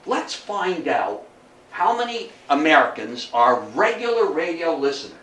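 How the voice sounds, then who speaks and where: A man's voice: on the high side, big pitch swings, a little slow, pushed and bright. An older man giving an informal public talk in a folksy, emphatic way, often repeating himself. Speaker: guest speaker, old-time radio hobbyist. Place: room at a local society talk. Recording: distant echoey room, faint noise.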